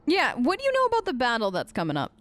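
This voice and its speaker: A woman's voice: high-pitched, huge pitch swings, fast, fairly bright tone. A woman hosting a gaming video, casually role-playing her character's side of the dialogue in a chatty, conversational way.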